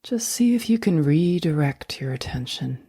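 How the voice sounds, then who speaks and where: A woman guiding a sleep meditation in a soft, breathy near-whisper, speaking slowly and gently with long, soothing pauses. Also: low voice, animated, dry recording, clean studio sound. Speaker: meditation guide.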